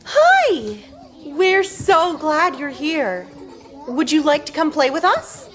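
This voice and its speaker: A woman acting the part of a kind, encouraging kindergarten teacher, speaking gently to small children at a film table read. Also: high voice, very animated, slow, soft background music, full character voice. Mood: warm, coaxing.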